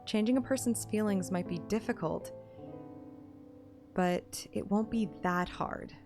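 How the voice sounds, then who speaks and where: A woman's voice: mid-range voice, unhurried. A woman reading a game story aloud as a streamer, moving between first-person audiobook narration and casual asides. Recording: music behind the voice.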